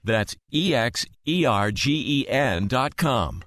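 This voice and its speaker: A man reading a scripted advertisement in a measured, informative manner as an announcer. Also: medium pitch, expressive, fairly bright tone. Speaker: commercial announcer. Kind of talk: scripted ad read.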